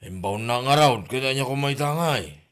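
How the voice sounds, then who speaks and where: A man's voice: medium pitch, animated, normal speed, a bit bright, close to an everyday voice. An actor playing a flirty, evasive married man in an Ilocano comedy drama, with an exclamatory, stammering manner and an Ilocano accent. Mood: annoyed.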